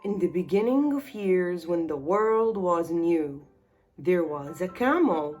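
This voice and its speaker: Slow, expressive woman storyteller. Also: lowish voice. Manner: expressive, deliberate storybook phrasing. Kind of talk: scripted children's bedtime story narration. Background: music.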